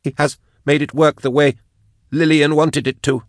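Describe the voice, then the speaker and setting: Man performing a fanatical captive woman, very high pitch, tight whining strain, halting, devout, dry close recording, audiobook dialogue. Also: level tone, no echo, natural voice. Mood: fervent, strained.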